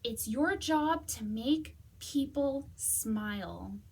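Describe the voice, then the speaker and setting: A woman's voice: fairly high pitch, lively intonation, drawn-out words, a little mellow, breathy edge, full character voice. A woman voicing an eager, encouraging young girl in a storybook.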